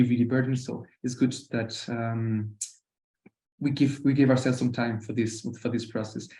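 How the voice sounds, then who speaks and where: A man's voice: medium pitch, mellow. A man from Costa Rica with a Spanish accent, presenting informally in a webinar and often hesitating and repeating words. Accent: Costa Rican Spanish. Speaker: university program representative presenting. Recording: roomy online webinar audio.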